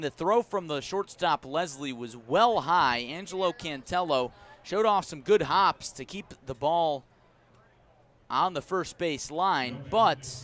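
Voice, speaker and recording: A man calling live baseball play-by-play for radio from an outdoor ballpark, in measured, descriptive short phrases. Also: a little high, a bit bright. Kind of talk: live radio sports commentary.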